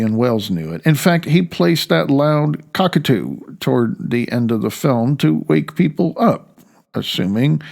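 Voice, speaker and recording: A man's voice: medium pitch, gravelly. A man reading his own audiobook, with a dry, wry manner and rambling asides.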